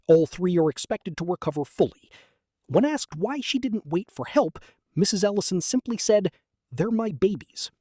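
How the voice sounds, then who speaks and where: A man plays an earnest small-town news anchor. His high voice moves in lively swings as he reads a bulletin, close and dry with no room sound. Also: moderate pace, slightly rough, no echo.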